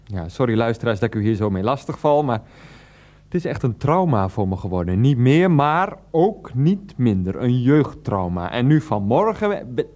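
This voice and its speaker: A man's voice: medium pitch, big pitch swings, normal speed, darkish tone, close to an everyday voice. A man playing a self-pitying husband. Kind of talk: 1990s radio comedy drama.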